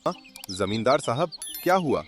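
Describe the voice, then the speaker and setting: A man voicing a humble, anxious poor farmer in an animated village story, in an expressive, pleading way. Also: mid-range voice, moderate pace, faint music behind, natural voice. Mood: worried, pleading. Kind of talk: Hindi animated moral story dubbing.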